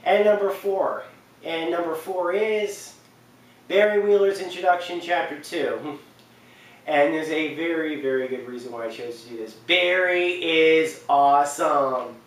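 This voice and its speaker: A man's voice: fairly high pitch, a little slow. A man in his twenties giving a casual, unscripted game countdown to camera, rambling earnestly and often correcting himself. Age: 20s. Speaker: video game list host. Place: indoor living room on a couch. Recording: echoey room, distant mic, faint noise.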